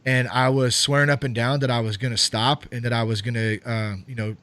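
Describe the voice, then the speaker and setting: A man's voice: mid-range voice, steady tone, brisk, a bit bright. A man in his thirties hosting a podcast, talking loosely and casually with lots of fillers and swearing. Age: 30s. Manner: rambling, loose, swears freely, many fillers. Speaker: podcast host.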